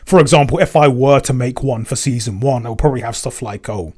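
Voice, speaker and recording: A man's voice: mid-range voice, fast talker, a little mellow, husky. Thoughtful, rambling man in casual conversation. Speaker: podcast co-host and soundtrack composer. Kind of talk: casual Q&A chat.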